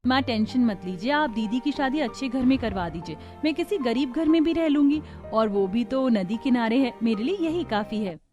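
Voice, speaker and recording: A woman's voice: a little high, animated, moderate pace, few pauses, highs cut off, over music, lightly acted. A woman voicing a kind, hardworking young younger sister in a Hindi cartoon story, speaking earnestly and pleadingly. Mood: gentle, selfless.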